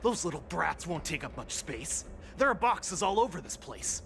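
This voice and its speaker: A man's voice: fairly high pitch, sing-song, normal speed, gravelly, fairly bright tone, slight background noise, full character voice. An actor playing a hot-tempered, contemptuous beastman infiltrator in a video game. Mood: bloodthirsty, scornful. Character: menacing, impatient alien beastman infiltrator, bloodthirsty henchman. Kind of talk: dramatic game dialogue.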